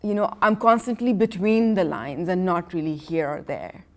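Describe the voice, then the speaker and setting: A woman's voice: on the low side, lively intonation, normal speed. A woman artist who grew up in Iran and Pakistan and speaks Farsi as her first language, telling her story in a rambling way full of restarts. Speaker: artist, interview guest. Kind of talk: casual conversational storytelling. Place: art gallery.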